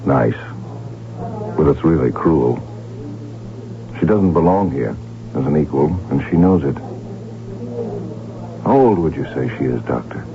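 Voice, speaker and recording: An actor playing a courtly, smitten crown prince in a radio drama, speaking in a formal, measured, gently wry way. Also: male voice, very deep voice, lively intonation, exaggerated voice. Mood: wry, thoughtful. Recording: tinny vintage radio broadcast, music behind.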